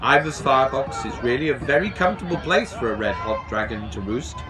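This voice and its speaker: A man in his fifties reading a children's story aloud outdoors in a measured, expressive storytelling manner. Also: mid-range voice, music in the background. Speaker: storybook reader. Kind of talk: scripted children's story narration read aloud. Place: outdoors on a garden bench at a railway.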